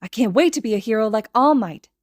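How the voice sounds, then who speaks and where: Storybook role: innocent, earnest small boy, played by a woman, high, chirpy, keen. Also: lively intonation, quick pace, no echo, clean studio sound, natural voice. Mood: excited. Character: eager, sweet, innocent little boy.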